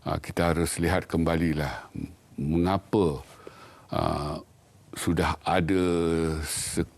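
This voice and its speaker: A man from Malaysia, a professor guest on a studio panel discussion, speaking in a measured, thoughtful way with frequent hesitations. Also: a bass voice, fairly even intonation, breathy edge.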